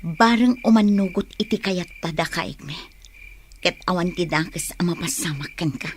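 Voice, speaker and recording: A woman's voice: lowish voice, moderate pace, a little hiss or hum, close to an everyday voice. An actress playing a knowing, scheming older village woman in a radio drama.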